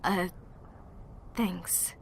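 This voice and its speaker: A woman playing a reserved, recovering young survivor in an audio drama, quiet and hesitant. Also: extremely slow, a little hiss or hum. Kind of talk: understated audio drama acting.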